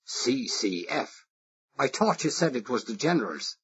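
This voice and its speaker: A man voicing a stocky middle-aged Irish foreman with a deep, rough, no-nonsense voice. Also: big pitch swings, measured pace, no echo. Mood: gruff suspicious. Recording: dull lo-fi recording.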